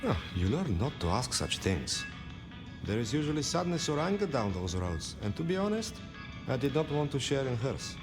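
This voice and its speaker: A man's voice: mid-range voice, big pitch swings, normal speed, lightly acted. A man voicing a weary, friendly pawnbroker in a video game, speaking in theatrical, wistful phrases. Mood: gentle, weary. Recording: music behind the voice.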